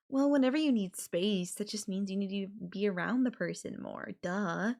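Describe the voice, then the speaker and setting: A woman playing an obsessive, possessive girlfriend in an intimate roleplay audio drama, sweet but menacing, with breathy cooing. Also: mid-range voice, unhurried, darkish tone. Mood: sweet, clingy. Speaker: voice actor.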